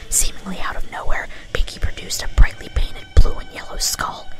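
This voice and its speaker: A woman narrator reads a story in a heavy, breathy whisper. The delivery is in ASMR style, and music plays underneath. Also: moderate pace.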